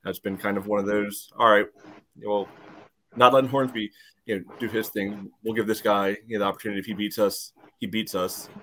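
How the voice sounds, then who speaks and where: A man's voice: on the low side, steady tone, fairly quick, bright, forceful tone, slight background noise. A man co-hosting a sports podcast, giving casual, rambling analysis with lots of filler phrases. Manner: rambling, peppered with "you know". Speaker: podcast co-host.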